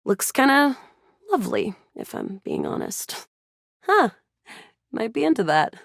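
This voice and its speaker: A woman voicing a feisty, excitable young resistance fighter in a sci-fi video game, with a dramatic, playful delivery. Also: fairly high pitch, measured pace, creaky, no room sound, clean studio sound, exaggerated voice. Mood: brisk, playful. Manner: animated, dramatic, playful banter. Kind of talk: video game character voice acting.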